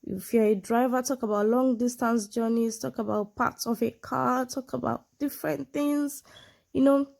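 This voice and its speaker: A woman's voice: on the high side, steady tone, moderate pace, creaky, darkish tone. A woman from Nigeria with a Nigerian accent and a sonorous voice, speaking English as a second language, giving an informal lesson with examples and frequent check-ins with the listener. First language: a Nigerian language, not English. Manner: explains with examples, frequent check-in tags like "yeah" and "you know". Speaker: online English exam tutor. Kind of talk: informal instructional talk to camera.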